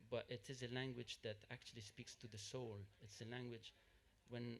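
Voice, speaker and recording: A man's voice: medium pitch, little pitch movement, normal speed, a bit bright, slight background noise. A man with a mid-range voice speaks up from the audience at a panel. He explains his point earnestly and steadily, correcting what was said before. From Lebanon. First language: Arabic. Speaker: audience member.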